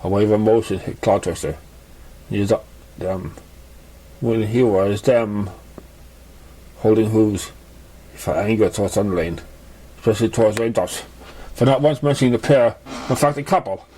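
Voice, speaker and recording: A man reading a fan fiction story aloud in a halting, stumbling way. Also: fairly low pitch, fairly even intonation, warm tone, poor audio. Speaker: story reader.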